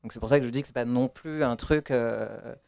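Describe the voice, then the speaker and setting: A man's voice: medium pitch, brisk, creaky, a little mellow, clean tone. A man, the author of the book, answering audience questions in a hesitant way with many fillers and restarts. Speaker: author presenting his book.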